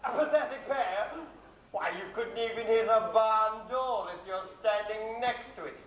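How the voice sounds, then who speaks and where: A man's voice: high-pitched, a little slow, a bit bright, muffled recording, a little hiss or hum. A man playing a scheming, greedy, smarmy pantomime villain on stage, sneering and theatrical. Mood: mocking. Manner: sneering, theatrical, plays to the audience. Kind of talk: broad stage pantomime acting. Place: theatre stage, recorded live. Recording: echoey theatre stage, distant camcorder microphone.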